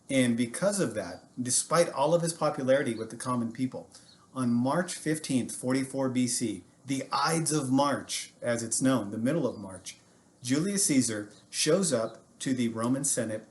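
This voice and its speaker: American man telling history stories, casual and animated. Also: medium pitch. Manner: casual, jokey asides. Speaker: teacher and storyteller. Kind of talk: animated history storytelling for students.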